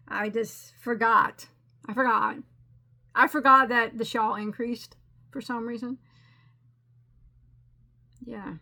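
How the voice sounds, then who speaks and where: Bright-voiced chatty crafting woman. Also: a little high. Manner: rambling and self-deprecating, with frequent restarts and laughs. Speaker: crochet channel host. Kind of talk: casual chatty crafting update.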